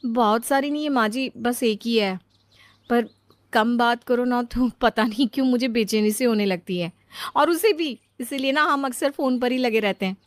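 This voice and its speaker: A woman's voice: fairly high pitch, normal speed, no echo, lightly acted. A woman voicing a chatty young daughter-in-law in a cartoon story, coaxing and teasing. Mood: coaxing, eager. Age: young adult. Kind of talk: acted cartoon story dialogue.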